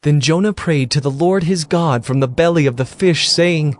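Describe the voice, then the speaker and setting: A man's voice: fairly high pitch, moderate pace. A man narrating scripture from a script in a dramatic storytelling style.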